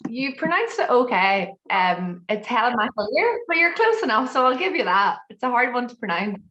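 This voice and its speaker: A woman's voice: fairly high pitch, sing-song, normal speed, sharp tone, dull audio, a little hiss or hum. An Irish woman, a brand ambassador, presenting a tasting masterclass over a video call in a chatty, light-hearted way. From Ireland.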